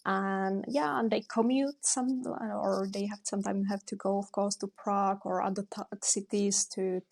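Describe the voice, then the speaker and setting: A young woman director answering interview questions, pausing often to search for words. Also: mid-range voice, fairly even intonation, gravelly, a little mellow. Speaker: documentary director.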